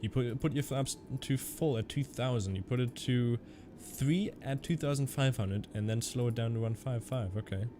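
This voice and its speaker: A man's voice: mid-range voice, normal speed, hardly any pauses, background noise. A young German man with a Canadian-German accent, chatting casually on a flight sim stream and answering his viewers. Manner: chatty, reads chat aloud and answers viewers. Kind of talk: casual live-stream commentary.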